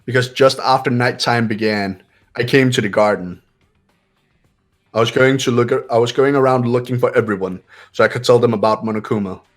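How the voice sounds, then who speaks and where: A man's voice: medium pitch, a little fast, faint music behind, natural voice. A man voicing a cool, commanding young man who questions and explains formally in a visual novel game. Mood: matter-of-fact, superior. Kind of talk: amateur visual novel voice acting.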